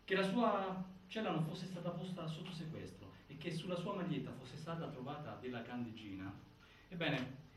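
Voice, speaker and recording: A man performing as a solemn theatre narrator, reciting a case file in formal, deliberate, declamatory phrases. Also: fairly high pitch, lively intonation, natural voice. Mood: grave, insistent. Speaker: narrator in a stage inquiry play. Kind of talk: scripted theatrical documentary narration. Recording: echoey, distant, faint noise.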